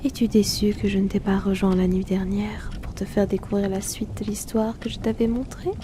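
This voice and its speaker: A woman voicing an aloof, wealthy hypnotist in a whispered ASMR roleplay, speaking softly and teasingly into a close mic. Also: mid-range voice, level tone, background noise. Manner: soft teasing whisper, unhurried.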